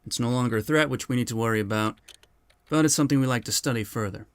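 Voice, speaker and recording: An actor plays a male FBI field chief in an audio drama. He is assured and brisk, speaking collegially at an average male pitch. The sound is dry, with little room around it. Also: clean tone, dry recording, close to an everyday voice. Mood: businesslike.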